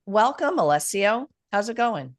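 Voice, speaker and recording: A woman's voice: mid-range voice, very animated, a little slow. A woman hosting an interview as head of a publishing company, upbeat and full of gratitude. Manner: upbeat, grateful, with fillers. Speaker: interview host, publishing CEO.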